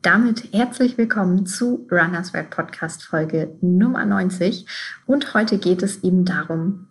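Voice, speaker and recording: A woman hosting a podcast, reading a measured, scripted episode intro. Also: on the low side. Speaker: podcast host.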